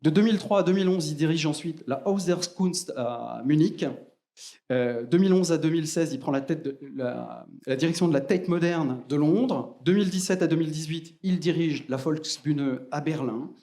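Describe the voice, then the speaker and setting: A man with a fairly high voice presents the evening's speaker in French. He reads a formal introduction and often pauses on filler sounds. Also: dark tone.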